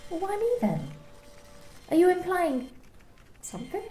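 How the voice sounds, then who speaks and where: A woman's voice: extremely high pitch, sing-song, a little slow, warm tone, exaggerated voice. A woman playing a hesitant, defensive young employee. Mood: guarded. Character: guarded young female sales employee. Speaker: actor in an amateur radio play. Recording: roomy home recording, faint hiss.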